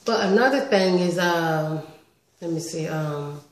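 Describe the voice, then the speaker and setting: A woman in her thirties chatting casually on a home vlog, animated and talkative, recorded on a webcam in her living room. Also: lowish voice, unhurried, roomy sound. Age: 30s. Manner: chatty, animated with lots of hand gestures. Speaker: vlogger. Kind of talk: casual story-time vlog. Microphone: webcam close up.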